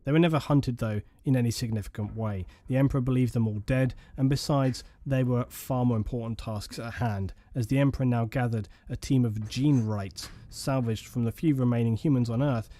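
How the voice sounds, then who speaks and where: Mellow-voiced man narrating lore. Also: mid-range voice. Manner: measured, solemn storytelling. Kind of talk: scripted documentary narration. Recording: faint music bed behind.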